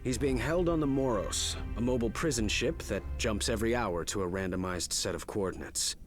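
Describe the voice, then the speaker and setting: Man playing a deep-voiced, formal prince. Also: faint music behind, lightly acted. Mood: earnest briefing. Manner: formal, measured, haughty. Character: proud, composed young prince, formal and shrewd. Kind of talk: video game acting.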